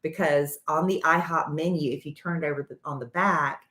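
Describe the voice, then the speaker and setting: A woman's voice: moderate pace, reverberant. A woman in her fifties co-hosting a podcast, chatting casually with her husband and telling stories animatedly. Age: 50s. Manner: animated storyteller, rising up in pitch when indignant. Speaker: podcast co-host. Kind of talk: casual chat with spouse.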